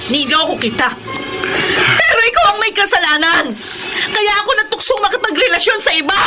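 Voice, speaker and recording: Casting: defiant adulterous wife, high voice, passionate melodramatic radio style, strong music bed. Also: female voice, very animated, brisk. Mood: defiant, tearful. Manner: dramatic, emotionally heightened delivery. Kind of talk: Filipino radio melodrama acting.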